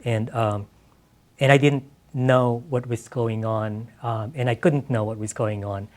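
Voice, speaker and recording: A man's voice: mid-range voice, steady tone, unhurried. A middle-aged man from the Philippines, a writer talking casually and reflectively at a live event. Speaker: writer, interview guest. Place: live public literary event.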